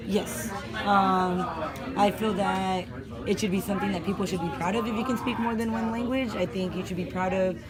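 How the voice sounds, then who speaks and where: A woman in her thirties, a teacher, answering interview questions in a thoughtful, measured way, filmed in a classroom office. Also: medium pitch, steady tone, a loud crowd. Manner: thoughtful, measured, mixes in fillers.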